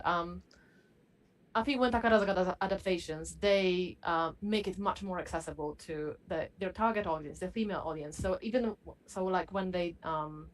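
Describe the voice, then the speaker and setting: Halting woman panel speaker. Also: lowish voice, poor audio. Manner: halting, frequent hesitations. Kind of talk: academic panel discussion.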